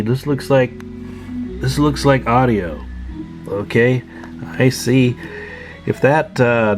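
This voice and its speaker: A man's voice: on the low side, a little mellow. A man giving a casual, hands-on walkthrough as a hobbyist, thinking aloud in a folksy, musing way. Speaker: hobbyist presenter.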